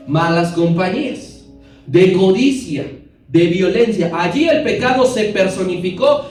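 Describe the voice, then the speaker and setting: A man with a very high voice teaches a Bible class, explaining each verse and turning to his listeners with questions. His voice rings through a hugely echoing hall, picked up from some distance. Faint music sits underneath. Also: darkish tone.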